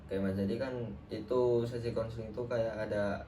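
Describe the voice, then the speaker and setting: A young man plays a trainee counselor in a role-play session, talking in a casual, friendly way full of fillers. His voice sits a little low. The room is roomy, the mic is distant, and a clear background noise runs underneath. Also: steady tone, a little fast, dark tone. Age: young adult.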